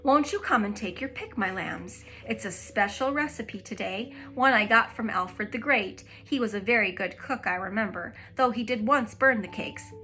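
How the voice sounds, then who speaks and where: A woman voicing a tiny, ancient, fierce old shopkeeper with a shrill, cackling voice who swings from sweet to menacing. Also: animated, moderate pace, few pauses, sharp tone, faint music behind.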